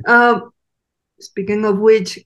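A woman's voice: mid-range voice, fairly even intonation, slow pace, fairly bright tone. A woman from Mexico with a Mexican Spanish accent, a native Spanish speaker hosting an interview and searching for her words with frequent laughs. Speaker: podcast host. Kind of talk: casual interview chat.

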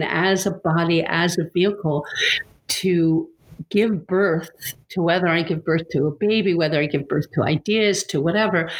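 A woman's voice: on the low side, rough recording. A woman interviewer talking casually and reflectively, searching for her words and often repeating them as she goes. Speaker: interviewer and yoga writer.

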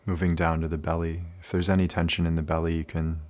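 A man leading a guided meditation in a calm, soothing, unhurried manner with many pauses. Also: deep voice.

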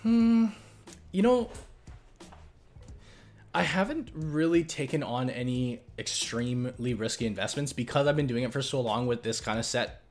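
A man from Canada chats through viewer questions in a loose, rambling way, full of "like" and "you know". His voice sits at an ordinary middle pitch. Light music plays faintly underneath. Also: normal speed. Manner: relaxed, rambling, many fillers and restarts. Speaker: investing channel host. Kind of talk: casual Q&A chat.

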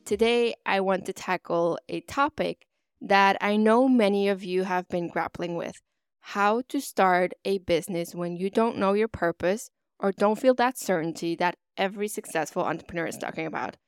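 A woman's voice: medium pitch, normal speed, smooth, no room sound, studio quality. A woman hosting her own podcast in an upbeat, motivational, chatty way, with a stuffy, slightly nasal voice from a cold. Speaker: podcast host. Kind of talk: solo podcast monologue.

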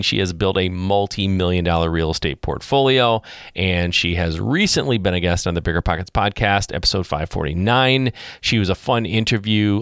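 A middle-aged man from Michigan hosts a casual podcast interview, chatty and upbeat, with plenty of fillers and restarts. His voice sits low. The sound is dry and close. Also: a bit bright, clean tone, no room sound. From Michigan, USA. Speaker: podcast host.

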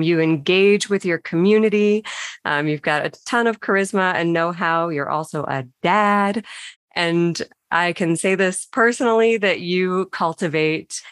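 Woman podcast host, lower voice, affirming and effusive, dry close mic. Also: fairly bright tone, clean tone, no echo. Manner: effusive, affirming, many fillers. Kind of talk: warm interview introduction.

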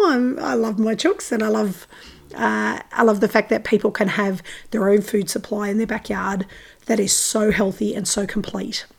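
A woman hosting her farming podcast in casual, enthusiastic chat, her voice croaky and hoarse from a recent illness. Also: mid-range voice, darkish tone. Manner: chatty, enthusiastic, frequent ums and uhs. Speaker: podcast host.

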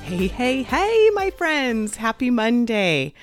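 A woman hosting a podcast as a weight coach, talking casually while fighting a head cold, a little raspy and clearing her throat. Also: a little high, sing-song, slow pace, music in the background. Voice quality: slightly raspy. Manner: upbeat, encouraging, faith-based. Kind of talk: casual solo podcast talk.

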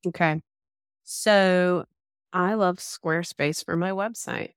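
A woman's voice: fairly low pitch, steady tone, slow, smooth, dry recording, studio quality. A woman in her thirties from Georgia with a Southern accent, talking easily and poking fun at herself as a podcast guest. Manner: easygoing, laughs, self-deprecating. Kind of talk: casual interview chat.

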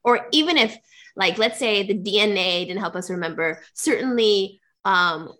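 A woman's voice: medium pitch, normal speed, pushed and bright. A woman hosting a discussion, talking in a rambling, searching way with frequent laughs. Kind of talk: conversational discussion.

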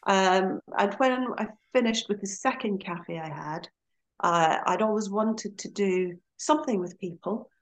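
A woman's voice: medium pitch, a little slow. A middle-aged woman from Scotland with an Aberdeen accent, chatting as a guest on a podcast and laughing easily as she talks. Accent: Scottish, Aberdeen. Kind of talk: casual interview chat.

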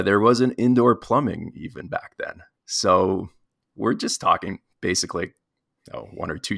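A man hosting a podcast interview, lively in his intro, then relaxed and halting with many fillers. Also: on the low side, gravelly, no room sound, studio quality. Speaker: podcast host.

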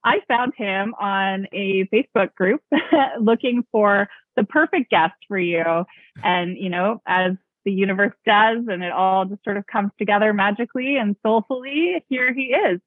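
A woman's voice: medium pitch, normal speed, no echo. A woman hosting a podcast, enthusiastic and gushing, often laughing. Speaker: podcast host and book publisher. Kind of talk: casual podcast interview. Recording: tinny phone-like call audio.

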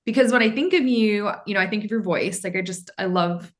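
A woman's voice: mid-range voice, fairly quick. A woman hosting a podcast, chatting casually and gushing with effusive praise for her guest. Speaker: podcast host. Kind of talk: casual interview conversation.

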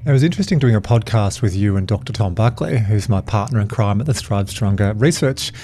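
A man's voice: lowish voice, expressive, a little fast, warm tone. A man hosting a podcast, interviewing in an enthusiastic, chatty, conversational way. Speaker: podcast host.

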